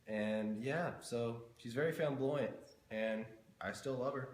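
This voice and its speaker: A man's voice: medium pitch, a little slow, a little mellow, close to an everyday voice. A man playing an easygoing, folksy 1920s boyfriend in an amateur mock news interview. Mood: fond, chatty. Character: easygoing 1920s man devoted to his flapper girlfriend. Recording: echoey room, faint background noise.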